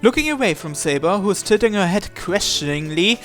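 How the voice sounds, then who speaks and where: A man's voice: high-pitched, lively intonation, brisk. A man reading visual novel text aloud as dramatic first-person narration, with music behind him.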